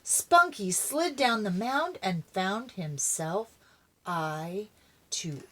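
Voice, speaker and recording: An older woman, a grandmother, reading a children's story aloud in an animated, dramatic way. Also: medium pitch, very slow.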